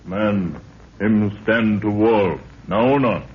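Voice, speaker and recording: Slow-spoken, solemn native African guide. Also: male voice, lowish voice. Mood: ominous. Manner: broken pidgin English, terse, slow. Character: solemn African native guide, fearful of death, sharp-eyed. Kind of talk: 1930s radio acting. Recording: muffled, noisy 1930s radio recording.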